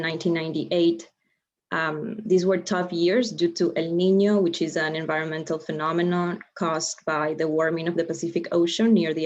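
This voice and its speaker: A young woman from Ecuador giving an online lecture, measured and explanatory, with frequent ums and uhs. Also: low-pitched, a little mellow. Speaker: guest lecturer. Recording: dull lo-fi video-call audio.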